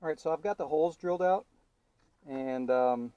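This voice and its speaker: A man giving a casual DIY tutorial as he works, thinking aloud in an informal, halting way. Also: on the high side, mellow, clear, resonant tone, no room sound, dull audio. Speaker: DIY car vlogger. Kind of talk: casual hands-on installation tutorial, talking while working.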